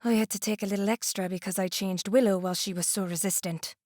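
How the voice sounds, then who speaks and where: A woman's voice: medium pitch, steady tone, quick pace, darkish tone, no echo, studio quality, lightly acted. A woman playing a cruel, gloating immortal enchantress in an audio drama, taunting and theatrical, savoring her words. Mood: smug, menacing. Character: gloating, cruel immortal enchantress who transforms people into swans.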